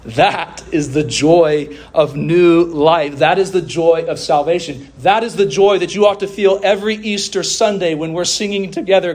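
Guy preaching a sermon, slightly high voice, big emphatic pauses, stretches out phrases and repeats them. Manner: emphatic oratory with deliberate pauses and drawn-out phrases; rhetorical questions and repeated refrains.

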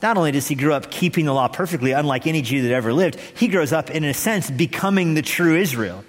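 A man preaching an expository sermon as a pastor, emphatic and deliberate, repeating key phrases with pauses. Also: on the high side, expressive. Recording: echoey hall with long reverb.

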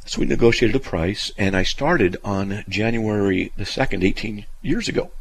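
A man's voice: lowish voice, normal speed, slight background noise, rough recording. A middle-aged man hosting a call-in radio show, telling stories in a folksy, self-deprecating way. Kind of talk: casual call-in radio chat.

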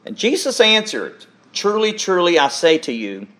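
A man's voice: high voice, big pitch swings, moderate pace, a bit bright. A man preaching a sermon and reading scripture in an earnest, emphatic manner, repeating words for weight and checking in with his listeners.

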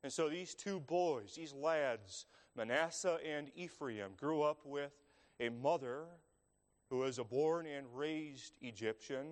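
A man preaching a sermon, speaking in slow, measured phrases with long dramatic pauses. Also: fairly high pitch. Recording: long reverb of a large hall.

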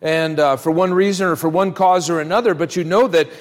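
A man preaching as a pastor in church, speaking in a deliberate, emphatic way with pauses and repetition. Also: a little high, steady tone. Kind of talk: church sermon with scripture reading and prayer. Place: church hall during a service. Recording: reverberant hall sound.

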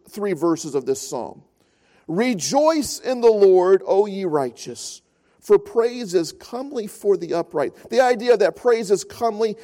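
A high-pitched man delivers a Sunday sermon. He tells a story with an earnest tone and drives his points home by repeating phrases. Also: warm tone. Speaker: pastor.

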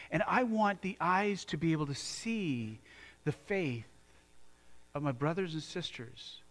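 A man's voice: on the high side, fairly bright tone, poor audio. A man from the United States preaching a sermon in a church, earnest and conversational, often restarting his sentences. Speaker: pastor.

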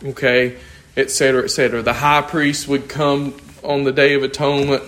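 Hoarse man teaching a church class. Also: medium pitch, flat delivery, brisk, fairly bright tone. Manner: conversational, thinking aloud, asks the class questions. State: losing his voice. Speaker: Bible study teacher.

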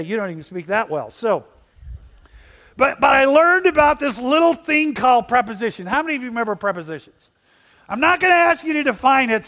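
A man's voice: very high-pitched, fairly bright tone. A man preaching a Sunday sermon whose first language is Spanish, reading scripture and asking the congregation questions. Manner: asks the congregation questions and slows for emphasis. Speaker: pastor.

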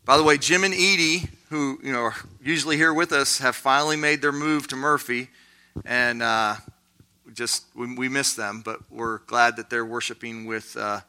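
A man teaching a Bible study class in a conversational way, with lots of fillers and quick asides. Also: mid-range voice, steady tone, pushed and bright, clear, resonant tone.

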